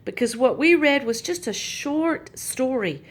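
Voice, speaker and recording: A woman telling a Bible story to young children, pointing out the pictures in a gentle, patient way. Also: high voice, lively intonation, unhurried.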